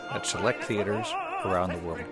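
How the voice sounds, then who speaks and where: A man's voice: sits low, steady tone, moderate pace, over loud music. A man hosting a broadcast interview, asking brief, courteous questions. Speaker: radio interviewer, host.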